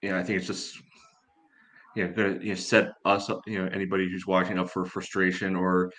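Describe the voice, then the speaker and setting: A man giving casual sports analysis in a halting, measured way, padding his sentences with filler phrases. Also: deep voice, completely flat delivery, fairly bright tone, rough recording. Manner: halting, measured, peppered with "you know". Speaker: sports podcast panelist.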